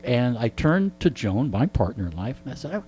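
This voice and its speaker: A man in his sixties with a mellow, relaxed tone, speaking over an audible hum. He tells stories conversationally, as in a radio interview, with chatty asides to the listeners. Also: mid-range voice, normal speed. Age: 60s. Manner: frequent throat clearing. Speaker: radio show host being interviewed. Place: radio studio. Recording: clear background hum and noise.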